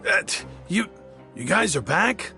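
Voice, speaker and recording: A bright, creaky-voiced video game convict. He is gossipy and sentimental, with a flustered, defensive delivery that swings in pitch. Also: very animated, slow, faint music behind, full character voice. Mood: surprised, emotional.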